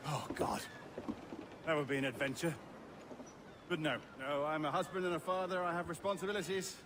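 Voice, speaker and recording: A man playing a prim, hesitant gentleman merchant. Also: fairly high pitch, little pitch movement, brisk, background noise, close to an everyday voice. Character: mild, dutiful gentleman merchant, husband and father. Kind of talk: video game cutscene acting.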